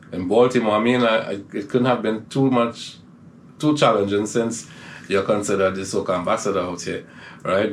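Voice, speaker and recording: Male podcast host, low voice, affirming, faint background noise. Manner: encouraging, frequent affirming "right". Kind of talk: casual interview.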